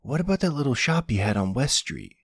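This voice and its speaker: A man voicing a young adult son of a mafia family, brisk and casual, in an audio roleplay. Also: medium pitch, sing-song, husky, dry recording, clean studio sound, voice pushed up. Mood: casual, teasing.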